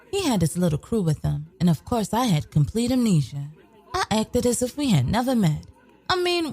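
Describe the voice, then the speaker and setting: A woman's voice: medium pitch, darkish tone, husky. A woman playing a sly, brash young woman killer in an audio drama, telling her story in a sassy, profane, colloquial way. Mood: boastful, dismissive. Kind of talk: scripted first-person narration, audio drama.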